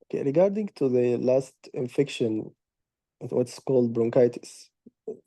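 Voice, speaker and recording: A man's voice: mid-range voice, lively intonation, a little slow, mellow, no echo. A man playing a doctor taking a patient's history, polite and formal, with slightly awkward phrasing. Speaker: doctor in practice consultation. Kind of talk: role-played clinical history taking.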